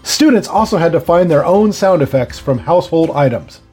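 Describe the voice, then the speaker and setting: A male reporter narrates a news story in a lively, varied voice with a soft tone. Faint music plays underneath. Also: fairly high pitch, moderate pace.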